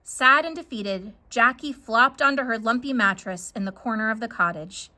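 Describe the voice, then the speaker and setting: A woman's voice: on the high side, moderate pace, sharp tone. A woman telling a scripted children's story as a storyteller.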